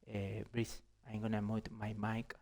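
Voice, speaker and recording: A man from Argentina with an Argentine accent, chatting casually and haltingly with other players while gaming, Spanish his first language. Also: fairly low pitch, monotone, creaky, a little mellow. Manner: halting, repeats words. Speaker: gamer streaming. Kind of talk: casual chat with online players.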